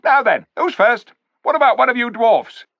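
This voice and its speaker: A man's voice: very high voice, animated, normal speed, no echo, pitched above the performer's own voice, heavily acted. A man voicing a sly, bossy male ape who mocks and jeers at the crowd in a storybook reading. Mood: jeering. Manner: mocking, jeering, cackling. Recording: dull lo-fi recording.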